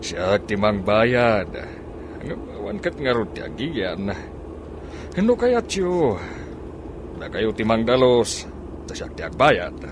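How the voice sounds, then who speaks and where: A man's voice: mid-range voice, sing-song, slow pace, pauses often, noisy background. A man with an Ilocano accent playing a courteous, generous older businessman in a radio comedy drama, speaking politely and a little hesitantly. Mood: obliging. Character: courteous, generous older businessman befriending two college girls.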